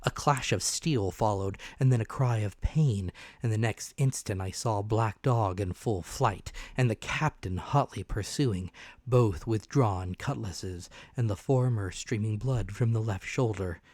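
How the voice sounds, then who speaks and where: A man's voice: lowish voice, a little slow, darkish tone, no room sound. A man giving a dramatic narrated reading of a classic adventure novel. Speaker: audiobook narrator.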